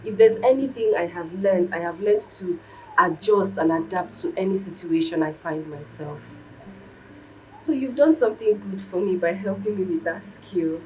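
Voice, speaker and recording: An actress playing a meek, forgiving young stepdaughter in a melodramatic film, earnest and deferential. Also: female voice, fairly low pitch, normal speed, darkish tone, close to an everyday voice. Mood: placating, forgiving. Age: young adult. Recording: distant mic, background noise.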